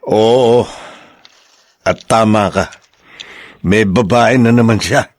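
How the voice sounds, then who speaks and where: A man's voice: lowish voice, takes it slowly, dry recording, voice pushed down. A man voicing a blunt, protective older brother who reports a husband's affair, in a radio drama. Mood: stern. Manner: grave, blunt.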